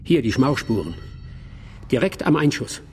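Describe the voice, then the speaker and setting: Calm clinical doctor guy in a radio crime play, mid-range voice. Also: mid-range voice, normal speed, a little hiss or hum. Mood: clinical, detached. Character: composed, clinical male doctor explaining neuroses. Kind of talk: German radio crime drama acting.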